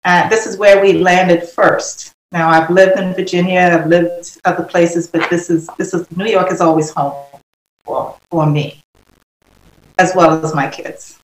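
A woman's voice: on the low side, fairly even intonation, measured pace, slight background noise. A middle-aged woman from Trinidad, speaking as a guest in a conversational, reminiscing way. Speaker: podcast guest. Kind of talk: conversational personal recollection. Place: remote, on a video call. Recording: echoey video call audio.

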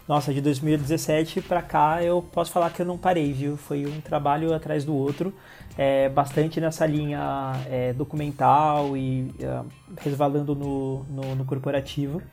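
Relaxed Brazilian man, mellow voice. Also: fairly high pitch, moderate pace, gravelly, faint music behind. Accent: Brazilian Portuguese. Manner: relaxed, chatty, hesitant restarts, laughs often. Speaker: interview guest, filmmaker. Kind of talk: casual interview talk.

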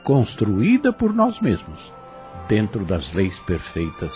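A man with a Brazilian accent giving spiritual commentary on radio in a formal, reflective, gently preaching way. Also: fairly low pitch, huge pitch swings, slow, faint music behind.

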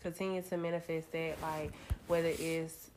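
A woman in her thirties co-hosting a casual talk show, candid and reflective, with a slightly raspy voice today. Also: sits low, little pitch movement, normal speed, darkish tone, slight background noise. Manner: candid and reflective, many fillers. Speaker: podcast co-host. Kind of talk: casual chat.

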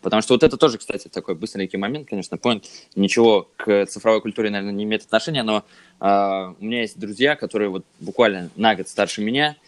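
A man's voice: lowish voice, fast talker, no echo. A man in his twenties on a casual podcast, thinking aloud hesitantly with lots of fillers. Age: 20s. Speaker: podcast co-host.

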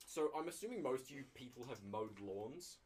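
A man's voice: mid-range voice, lively intonation, a little fast, a crowd in the background. A young man chatting casually with friends on a podcast, joking and laughing often. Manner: laughs often, jokey storytelling. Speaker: podcast co-host. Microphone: close desk mic.

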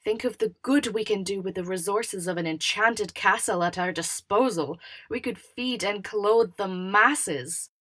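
A woman's voice: mid-range voice, unhurried, hardly any pauses, a bit bright, husky. A woman playing a pushy, self-assured brother in an audio drama, emphatic and cajoling. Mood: cajoling, insistent. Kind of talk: audio fiction character acting.

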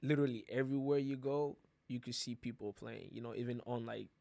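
A relaxed man from Ghana chats easily as an interview guest, his voice gravelly with a steady, flat pitch. The sound is dry and close, with no room around it. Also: a little fast, no room sound. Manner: relaxed, laid-back, many "you know" fillers. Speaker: podcast guest, soccer player. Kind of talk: casual interview chat.

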